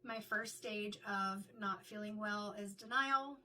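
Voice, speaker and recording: A middle-aged woman, a card reader and medium, talking in a chatty, reflective way, her voice a little raspy from illness. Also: mid-range voice, slow, sharp tone, far from the mic. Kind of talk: casual spoken tarot reading intro.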